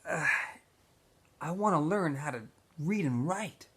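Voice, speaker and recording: A man voicing a quiet, hesitant teenage boy in a storybook reading, speaking in short plain phrases. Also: on the high side, animated, slow pace, breathy edge, voice pushed up, heavily acted. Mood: shy, pleading.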